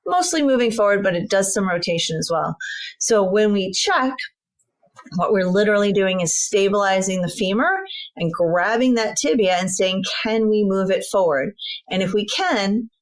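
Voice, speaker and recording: A woman's voice: medium pitch, expressive, moderate pace. A woman in her fifties, a veterinary guest on an interview show, explaining step by step as she points things out on a model. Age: 50s. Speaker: veterinary sports medicine guest.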